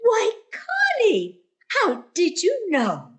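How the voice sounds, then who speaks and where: A woman's voice: very high voice, wildly swooping pitch, drawn-out words, fairly bright tone, exaggerated voice. A woman playing a motherly older landlady in a radio sitcom, wheedling and guilt-tripping with martyred self-effacement.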